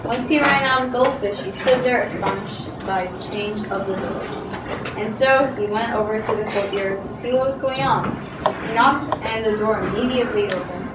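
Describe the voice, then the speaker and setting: A woman's voice: on the high side, moderate pace. A woman narrating a story aloud in an amateur readers theatre style, projecting, with some stumbles. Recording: loud background noise, echoey room, distant mic.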